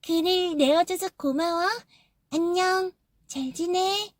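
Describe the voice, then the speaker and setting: A woman's voice: extremely high pitch, slow, dry recording, clean studio sound, pitched above the performer's own voice. A woman doing a chirpy little moss. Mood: cheerful. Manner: bright, chirpy, polite. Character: cheerful, chatty little moss.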